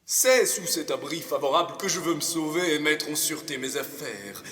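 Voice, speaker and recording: In a reverberant hall, a man acts out a swaggering aristocratic libertine from classical stage drama. His voice sits high for a male and rings out bright and forceful. He declaims with grand theatrical flourish, cynical and sure of himself, moving between loud boasts and hushed asides. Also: animated, normal speed. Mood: cynical, scornful. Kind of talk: classical French theatre monologue.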